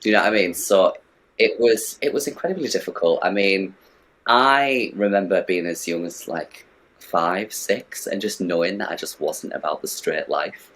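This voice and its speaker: A man's voice: low voice, normal speed, a bit bright, poor audio. A young man from the northeast of England, a drag performer chatting casually as an interview guest in a camp, talkative way. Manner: camp and chatty, says "do you know what I mean".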